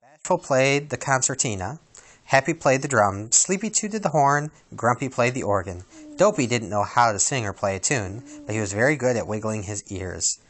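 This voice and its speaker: A man reading a children's storybook aloud as storyteller, with a dramatic, expressive delivery. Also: mid-range voice, normal speed.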